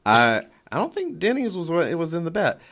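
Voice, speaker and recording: A man co-hosting a sports podcast, giving opinions and game picks in casual talk, halting and repeating phrases as he reasons. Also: on the high side, wildly swooping pitch, fairly bright tone.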